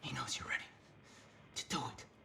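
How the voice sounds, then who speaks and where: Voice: moderate pace, lightly acted. An actor playing a desperate, haunted prisoner in a video game, speaking urgently and breathily, often in a whisper. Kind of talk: dramatic video game acting.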